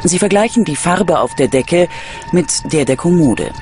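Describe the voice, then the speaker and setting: A male documentary narrator reads a scripted crime story with dramatic, measured delivery. His voice sits a little high and moves widely in pitch. Clear music plays behind him. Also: lively intonation. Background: background music.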